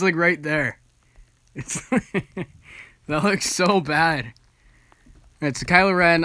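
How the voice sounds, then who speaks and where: A young man with a bright, forward voice recorded close and dry. He talks casually as a video host reacting to footage, informal and amused, gently poking fun at himself. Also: on the high side, no room sound. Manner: informal, amused, self-deprecating.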